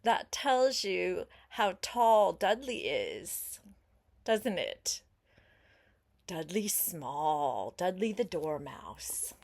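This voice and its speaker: Slow, expressive older woman reading aloud. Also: lowish voice, creaky. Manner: unhurried, expressive, explains words and asks questions. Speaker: grandmother reading a picture book aloud to a child. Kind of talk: storybook read-aloud with chatty asides to a young listener.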